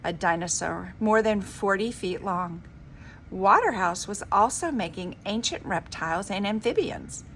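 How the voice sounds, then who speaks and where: A woman reading a children's story aloud in an expressive, dramatic, emphatic storybook manner. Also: medium pitch, measured pace, slight background noise. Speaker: reader of a children's book.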